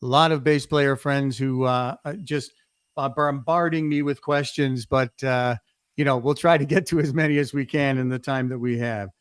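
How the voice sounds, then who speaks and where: Smooth-voiced man hosting a podcast. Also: medium pitch, fairly even intonation, normal speed, no room sound, studio quality. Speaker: podcast host. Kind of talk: casual interview chat.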